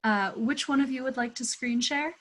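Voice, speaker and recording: A woman's voice: high-pitched, normal speed. A young woman hosting an online webinar from a room at home, introducing speakers in a friendly organized way. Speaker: host. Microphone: earbuds on video call.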